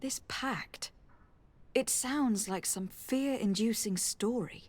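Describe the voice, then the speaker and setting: High-voiced young noble envoy. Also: female voice, slow pace, a little mellow, dry recording. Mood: thoughtful. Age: young adult. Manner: polite, formal, questioning. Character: young noblewoman envoy, courteous, composed, probing. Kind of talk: dramatic game dialogue.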